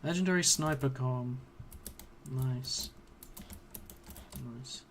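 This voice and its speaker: A man's voice: mid-range voice, slow pace, noisy background. Man rambling hesitantly while gaming. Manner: hesitant, filler-heavy, trails off mid-sentence. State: voice a bit strained from long talking. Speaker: game streamer. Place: home room at a computer.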